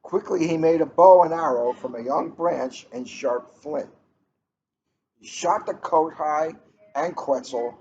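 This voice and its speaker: A middle-aged man with unhurried, deliberate phrasing, chatting casually and reading a storybook aloud. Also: on the high side, a little mellow. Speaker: police officer reading a picture book aloud. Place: coffee shop, indoors. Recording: muffled, noisy room recording.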